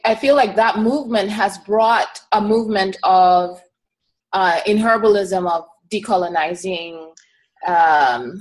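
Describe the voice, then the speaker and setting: A woman's voice: mid-range voice, poor audio. Reflective middle-aged woman from East Africa. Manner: reflective and earnest, pausing often with fillers. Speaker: herbalist guest. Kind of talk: casual conversational interview.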